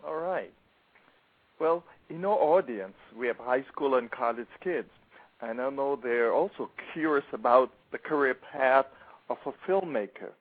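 A man's voice: medium pitch, measured pace. A man co-hosting a show, putting questions to a guest in a friendly, conversational way. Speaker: co-host interviewing a guest. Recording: thin phone-like line.

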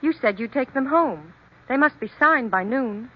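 An actress playing a polite, efficient office secretary in an old radio drama, with a businesslike delivery. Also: female voice, high voice, lively intonation, moderate pace. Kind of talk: 1940s radio drama acting. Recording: muffled vintage radio recording.

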